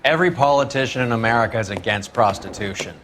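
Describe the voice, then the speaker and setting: A man's voice: mid-range voice, fast, a bit bright, slight background noise, lightly acted. A man playing a cynical, foul-mouthed vice cop. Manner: gruff, blunt, profane. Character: cynical veteran 1940s vice cop, crude and worldly.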